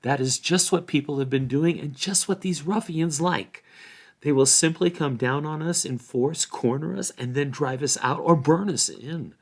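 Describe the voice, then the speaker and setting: A man's voice: on the high side, moderate pace, voice pushed up. Man voicing an urgent, emphatic young hobbit leader. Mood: urgent, rallying. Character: earnest, resolute hobbit adventurer urging his companions to act.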